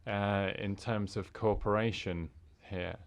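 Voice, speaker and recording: Male interpreter, very slow, halting delivery, formal speech. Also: on the low side, fairly even intonation. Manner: halting, with fillers and restarts.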